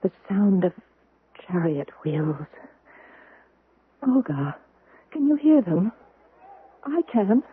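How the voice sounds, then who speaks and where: Sad young widow in old radio play, hesitant n emotional, husky, thin muffled broadcast sound. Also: female voice, mid-range voice, sing-song, slow pace, long pauses, exaggerated voice. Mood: entranced. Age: young adult. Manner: emotional, hesitant, dramatic delivery. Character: grieving young widow, a wistful history teacher. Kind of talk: old-time radio drama acting. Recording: muffled, band-limited 1970s radio broadcast.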